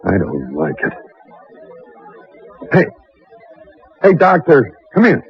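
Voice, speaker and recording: A man acting as a wary, gruff armed guard on an expedition in a radio adventure drama. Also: mid-range voice, wildly swooping pitch, slow, full character voice. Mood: wary, agitated. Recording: muffled radio recording over clear music.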